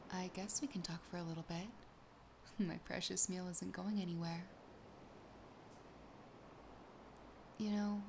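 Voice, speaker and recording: Soft whispery possessive siren played by a woman. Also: mid-range voice, noisy background, natural voice. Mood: playfully menacing. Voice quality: soft, breathy, often whispered. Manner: slow, teasing, intimate. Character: possessive, sassy, lonely female siren toying with her catch. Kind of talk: whispered ASMR roleplay.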